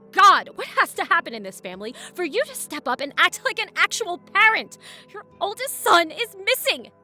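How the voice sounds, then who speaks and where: An actress playing an anxious, confrontational grown daughter, young, emotional and accusing, in an audio drama. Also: female voice, extremely high pitch, sing-song, moderate pace, pushed and bright, soft background music, full character voice. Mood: angry, upset. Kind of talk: modern audio drama acting.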